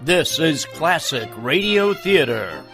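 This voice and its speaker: A man's voice: a little high, sing-song, takes it slowly, a bit bright, soft background music. An American man announcing a radio program intro in a grand scripted style.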